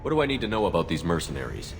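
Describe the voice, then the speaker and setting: A man's voice: low voice, big pitch swings, rapid-fire, noisy background. A man acting a resolute, principled military commander in a video game, speaking in a firm, direct, questioning way. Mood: businesslike. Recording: long reverb, music underneath.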